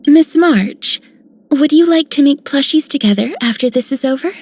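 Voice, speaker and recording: An actress playing a gentle, shy young woman in a game, with a soft, breathy voice. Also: sits high, expressive, moderate pace, no echo. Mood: hopeful, friendly. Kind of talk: scripted game character lines.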